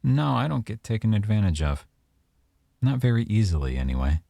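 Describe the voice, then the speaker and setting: A man playing a teasing, coy young mafia boyfriend with veiled menace, in an unhurried, intimate ASMR roleplay. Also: lowish voice, sing-song, creaky, mellow, dry recording. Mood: coy, smooth.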